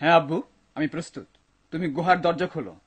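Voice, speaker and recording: Dutiful young son for cartoon dub, man acting: high, raspy, eager, earnest. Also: fast. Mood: impatient.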